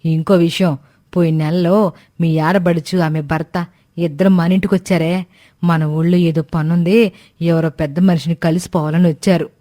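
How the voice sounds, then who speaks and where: A woman voicing a plain-spoken, dismissive mother of a grown daughter in an animated moral story, in a matter-of-fact, brisk manner. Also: lowish voice, close to an everyday voice.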